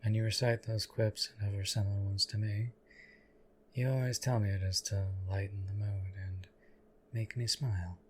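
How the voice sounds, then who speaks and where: Man playing a deep, flat-voiced stoic knight. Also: slight background noise, natural voice. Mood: earnest, deadpan. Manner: deadpan, formal, measured, archaic courtly phrasing. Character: stoic, loyal male knight, formal and devoted. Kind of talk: scripted audio roleplay addressed to the listener.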